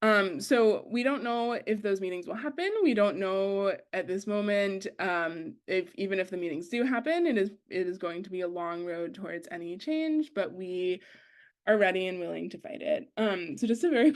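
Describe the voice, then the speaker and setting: Earnest young woman, halting speech. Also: mid-range voice, animated. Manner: earnest, many fillers and restarts. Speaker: student organizer. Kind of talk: online panel talk. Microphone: video call.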